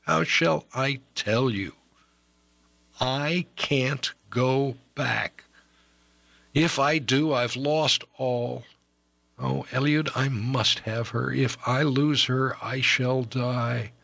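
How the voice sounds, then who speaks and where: A man's voice: medium pitch, fairly even intonation, very slow, vocal fry, fairly bright tone, no echo, voice pushed up, full character voice. A man voicing an impassioned young man in love, pleading urgently.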